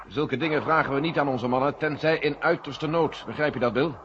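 An actor in a 1970 Dutch radio play, playing a grave, weary general who gives clipped commands from mission control. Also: male voice, on the high side, fairly quick, natural voice. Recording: dull, very noisy old radio recording.

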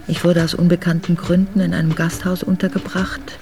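A woman's voice: fairly low pitch, level tone, brisk, lightly acted. An actress playing a noblewoman who soberly recalls a hard winter journey in a radio drama. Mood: sober, reflective. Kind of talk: radio drama memoir narration.

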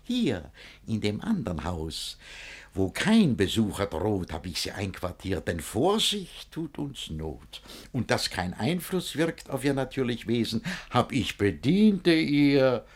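Smug mocking bridegroom, radio-play verse, theatrical, husky, slow and highly animated. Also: male voice, exaggerated voice. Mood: self-satisfied. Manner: theatrical, self-assured, emphatic declamation. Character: smug, mocking older bridegroom scheming for an obedient wife. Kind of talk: classic German radio play in rhymed verse.

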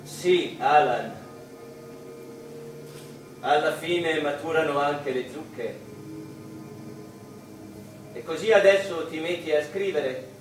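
Deep in heavy echo with music behind, a knowing parent in a radio play. The delivery is slow and measured, gently chiding a grown child. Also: male voice. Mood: gently reproachful. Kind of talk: theatrical radio drama. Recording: very echoey, distant, music behind.

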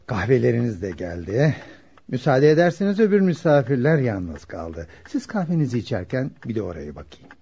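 An actor playing a kindly middle-aged father in a Turkish radio play, genial and deliberate as he weighs his daughter's marriage. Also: mid-range voice, sing-song, creaky, warm tone, breathy edge, heavily acted. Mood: genial, polite. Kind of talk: Turkish radio theater acting. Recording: muffled lo-fi radio drama recording.

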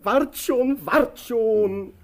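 An actor playing a weary, querulous, childlike male tramp in a radio drama, with a husky voice and a plaintive, grumbling manner. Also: extremely high pitch, drawn-out words, exaggerated voice. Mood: aggrieved. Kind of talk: theatrical radio drama acting.

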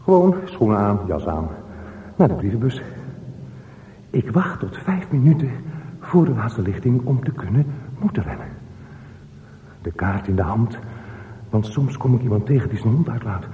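An actor playing a solitary, obsessive aging man in a radio drama, talking to himself in halting, repetitive phrases. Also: lowish voice, sing-song, narrow, tinny audio. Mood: brooding. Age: older. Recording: roomy echo with faint noise.

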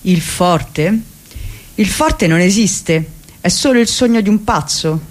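A woman's voice: medium pitch, lively intonation, takes it slowly. Wry woman with an Italian accent.

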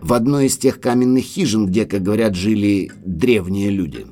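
Low, mellow, anxious butler, acted by a man. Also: moderate pace, close to an everyday voice. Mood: confiding, cautious. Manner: respectful, grave, uneasy. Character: deferential, anxious male manor butler, full of foreboding. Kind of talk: audio drama dialogue.

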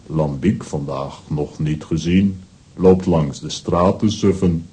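An actor playing a huge, slow, good-natured strongman in a children's audio drama, gruff and terse. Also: a bass voice, dark tone, exaggerated voice. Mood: laconic.

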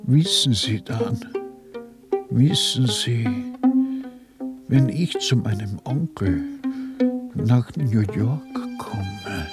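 An actor in a radio play voicing a weary, shrewd small-time dealer with a rough, resigned way of talking. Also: male voice, medium pitch, lively intonation, drawn-out words, long pauses. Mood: tired, musing. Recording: dry studio with faint music.

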